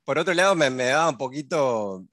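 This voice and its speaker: Male Uruguayan consultant guest, mid-pitched, relaxed chat with many fillers, dry mic. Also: a little fast, dry recording. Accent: Uruguayan Spanish. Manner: chatty, with frequent fillers and tag questions. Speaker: guest, agile business consultant. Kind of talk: casual conversational interview.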